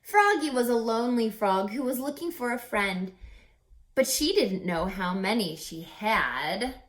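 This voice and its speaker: A woman reading a picture book aloud like a teacher, deliberate and instructive, with dramatic pauses and questions for young listeners. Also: on the high side, animated.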